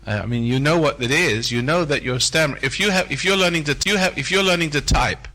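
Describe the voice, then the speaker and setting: A man's voice: a little high, fast talker, bright, forceful tone. An older man speaking to a live seminar audience in a hotel room, folksy and preacherly, repeating phrases for emphasis.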